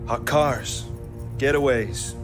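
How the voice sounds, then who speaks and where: An actor playing a slick, cocky crooked car mechanic in a crime game, breezy and smooth-talking. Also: male voice, mid-range voice, slow pace, close to an everyday voice. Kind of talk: video game cutscene acting. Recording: loud music behind the voice.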